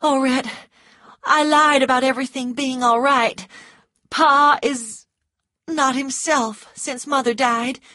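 A woman's voice: high-pitched, fairly even intonation, slow, sharp tone, no room sound, pitched above the performer's own voice, heavily acted. A woman voicing an anxious, coquettish young Southern woman who pleads sweetly in an audiobook story.

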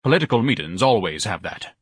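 A man's voice: fairly low pitch, sing-song, fast talker, breathy edge, dry recording. A man voicing a pompous, bombastic scholar with a solemn, orator-like way of talking and florid diction, in a storybook narration. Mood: stern. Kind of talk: audiobook character acting.

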